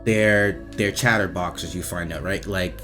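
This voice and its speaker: A man giving casual, off-the-cuff commentary as he reviews game voice lines, talking in a halting, repetitive, slangy way with some swearing. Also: deep voice, over music.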